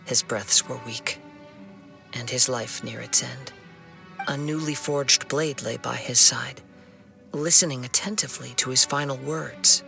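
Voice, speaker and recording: A man playing a calm young wandering swordsman in a video game, speaking in a measured, formal, solemn and compassionate way. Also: medium pitch, creaky, soft background music, full character voice. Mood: reflective narrating.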